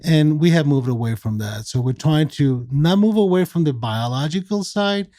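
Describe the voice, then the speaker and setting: A man in his sixties from Mexico talks in a measured, explanatory way, pausing on fillers as he lays out his thinking. His voice is mellow and warm, recorded dry and close with little room sound. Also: a little high, animated, no echo. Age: 60s. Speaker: psychiatrist guest. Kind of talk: conversational podcast interview.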